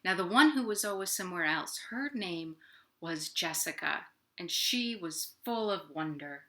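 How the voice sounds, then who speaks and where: Slow, animated woman telling children stories. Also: on the low side. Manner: animated, addressing young children directly. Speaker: library storytime host. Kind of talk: children's storytelling. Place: at home, indoors.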